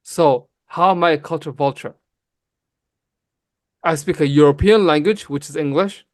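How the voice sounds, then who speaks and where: Somali-native man, raised in Canada, heated debater, somewhat high voice, dry close sound. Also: dry recording. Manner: emphatic, fast, calls people bro. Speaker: guest on a livestream debate. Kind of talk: heated casual argument.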